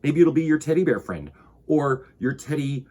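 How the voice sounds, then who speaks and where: A man's voice: fairly high pitch, expressive, normal speed, dark tone. A man hosting a children's storytime, reading aloud and chatting expressively, with direct questions to young listeners. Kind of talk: picture-book read-aloud and chat to children.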